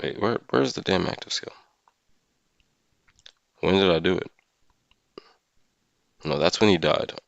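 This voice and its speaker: A man with a fairly deep voice talks as a livestreamer in a casual discussion. He thinks aloud in an opinionated, conversational way, often checking in with his listeners. The sound is dry, with very little room around the voice. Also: unhurried, vocal fry, pauses often, dry recording, lo-fi sound. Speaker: live streamer and gaming content creator.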